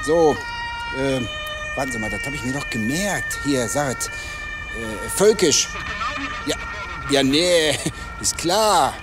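An actor playing a chatty, self-satisfied local police detective in a naturalistic radio crime drama, talking colloquially. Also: male voice, medium pitch, expressive, takes it slowly, pauses often, recorded from a distance. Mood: smug, chatty.

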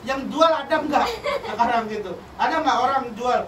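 Deadpan joking man in echoey room. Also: very high-pitched, moderate pace. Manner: deadpan, teasing. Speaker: guest. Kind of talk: casual joking chat. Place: small room with foam panels, sitting on floor. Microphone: far camera. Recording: echoey room from far off, noisy.

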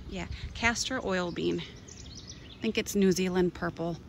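A woman's voice: fairly low pitch, slow, creaky, noisy background. A woman from Minnesota chatting enthusiastically as she gives a casual tour of her container garden outdoors, filming on a handheld phone. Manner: enthusiastic, chatty, pointing things out. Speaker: gardening vlogger.

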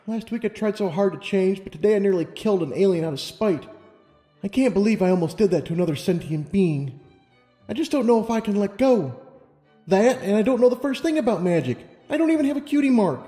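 A man's voice: sits high, fairly quick, dark tone, echoey room, big-room sound, faint music behind, pitched above the performer's own voice, close to an everyday voice. A man voicing an anxious, self-doubting young unicorn mare in a storybook reading, earnest and hesitant. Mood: anguished doubt. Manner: hesitant, stammering protests. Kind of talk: audiobook character reading.

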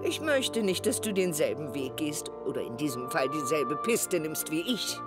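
Caring, lively-voiced old grandmother. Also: deep voice, moderate pace. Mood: earnest, encouraging. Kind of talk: German cartoon dub acting. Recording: music behind the voice.

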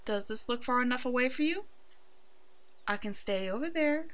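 A woman voicing a brave, protective werewolf in an ASMR roleplay, soothing and halting, with a soft, hushed delivery. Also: a little high, animated. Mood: careful, calming.

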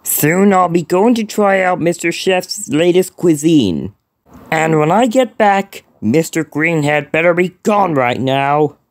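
A man voicing a grumpy, easily exasperated cartoon creature with a gravelly voice, drawing out words and swinging from mutters to outbursts. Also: a little high, expressive, slow, no echo. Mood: bossy. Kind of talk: solo cartoon character voicelines.

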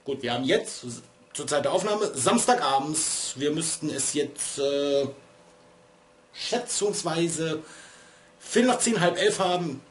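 A man in his thirties talks into a desk webcam in a small room at home. His voice sits a little high for a man and sounds a bit stuffed up from a cold, with a faint hiss in the background. He chats loosely and drifts from topic to topic, making fun of himself along the way. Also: animated, a little slow. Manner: chatty, digressive, self-deprecating. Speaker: vlogger and streamer. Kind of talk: casual rambling vlog talk. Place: small room at home, lit by LED strips.

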